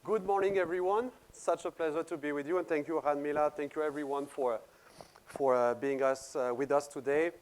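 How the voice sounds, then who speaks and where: A man's voice: fairly high pitch, fairly even intonation, brisk, a little hiss or hum. French-accented man presenting at a seminar. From France. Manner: explanatory, repeats words while searching for phrasing.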